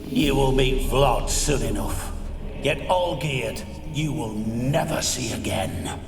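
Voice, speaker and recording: An actor playing a vengeful noble ghost in a video game, slow, ominous and declamatory. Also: male voice, mid-range voice, lively intonation, vocal fry. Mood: threatening. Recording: echoey and distant, loud music behind.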